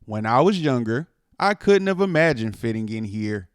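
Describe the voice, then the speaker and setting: A man reading a children's picture book aloud in an expressive way, stretching words for dramatic emphasis. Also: medium pitch, normal speed, clean tone, no room sound, studio quality. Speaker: storytime reader.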